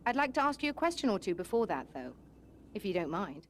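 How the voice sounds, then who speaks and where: An actress playing a brisk, inquisitive professional woman in a game, polite and lively. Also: medium pitch, a little hiss or hum, natural voice. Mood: brisk, businesslike.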